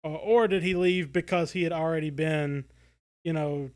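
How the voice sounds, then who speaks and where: A man co-hosting a podcast in casual discussion, rambling and halting as he corrects himself. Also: fairly high pitch, steady tone. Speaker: podcast co-host.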